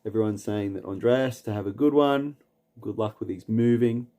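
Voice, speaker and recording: A man with an Australian accent, hosting a late-night livestream and reading chat comments aloud. He rambles in a relaxed way, with lots of "um"s and repeated words, and chuckles often. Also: fairly low pitch, normal speed. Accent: Australian, from Newcastle. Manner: chuckles often between lines. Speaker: livestream host.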